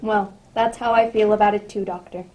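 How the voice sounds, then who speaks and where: A woman's voice: medium pitch, fairly even intonation, brisk, a little mellow, highs cut off, lightly acted. A woman playing an affable male radio announcer and host in an old-time style radio drama. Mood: friendly, curious.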